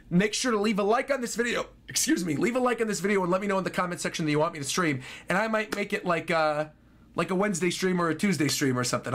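Animated high-pitched young man reacting. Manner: excitable, animated. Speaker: reaction streamer. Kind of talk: casual live reaction commentary. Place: small home room. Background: game trailer music.

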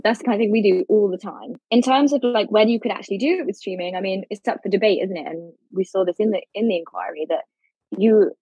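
A woman lawyer, explaining legal points carefully in a podcast interview, with a husky voice. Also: mid-range voice, dry recording, dull audio. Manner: articulate, explains carefully with fillers. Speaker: lawyer guest.

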